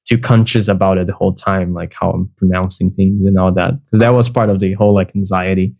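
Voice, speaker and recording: Laid-back Brazilian man, low mellow voice. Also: fast, creaky. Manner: relaxed, laughs often, many "like" fillers. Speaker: guest music producer. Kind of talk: casual podcast chat.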